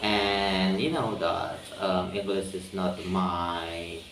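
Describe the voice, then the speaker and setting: A man with an Indonesian accent, speaking English as a second language, talking slowly and haltingly, searching for words with many pauses and ums. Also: deep voice, fairly even intonation, fairly bright tone, a little hiss or hum. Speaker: vlogger practicing spoken English. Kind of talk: reflective solo monologue. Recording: roomy echo, mic at a distance.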